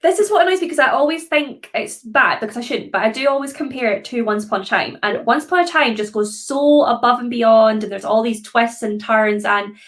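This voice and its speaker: A woman with a higher-than-average voice chats as co-host of a live book show. Her delivery is informal, giggly and conversational. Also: fairly quick, few pauses, a bit bright. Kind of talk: casual book discussion.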